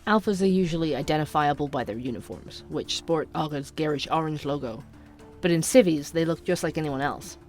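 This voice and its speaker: An actor playing a young podcaster who narrates urban conspiracies in a measured, inquisitive way for an audio drama. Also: medium pitch, faint music behind, lightly acted. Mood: intrigued, serious.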